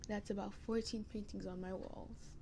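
A woman's voice: medium pitch, flat delivery, moderate pace, creaky, warm tone. A young adult woman with a scratchy voice, chatting casually by herself in a hesitant, meandering way. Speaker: podcast host. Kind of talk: casual rambling solo chat. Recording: noticeable background noise.

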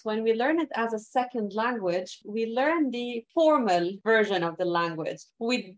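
A young woman from Lebanon whose first language is Arabic, giving thoughtful, self-correcting answers as a guest researcher in an interview. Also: fairly high pitch. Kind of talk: academic interview.

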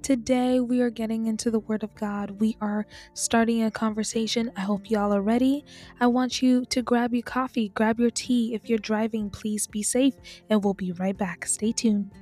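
Woman host, casual and chatty, speaking to listeners over soft music. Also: fairly high pitch, fairly even intonation, normal speed. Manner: chatty, conversational, addresses listeners directly. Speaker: podcast host. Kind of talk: casual faith talk.

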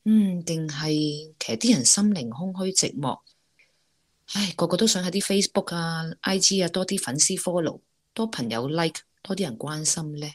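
A woman's voice: lowish voice, lively intonation, normal speed. A woman with a Hong Kong Cantonese accent co-hosting a radio chat, musing and teasing. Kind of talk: casual chat.

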